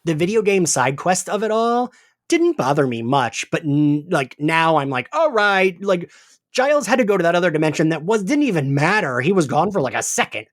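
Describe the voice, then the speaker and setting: A man hosting a podcast in casual chat, with an animated, self-deprecating manner. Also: fairly high pitch, normal speed, no room sound, clean studio sound. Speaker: podcast host.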